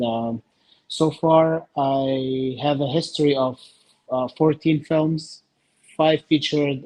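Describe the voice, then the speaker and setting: A man with an Egyptian accent giving casual interview answers in short, halting phrases with many pauses. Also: mid-range voice, darkish tone, poor audio. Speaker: interview guest, filmmaker.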